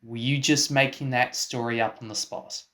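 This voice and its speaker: A man's voice: mid-range voice, steady tone, normal speed, pushed and bright, lightly acted. A man playing a baffled, exasperated interviewer in a comic radio play, with a dry, incredulous, questioning manner. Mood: sceptical. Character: exasperated, baffled male interviewer and film producer. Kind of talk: scripted comic mock interview.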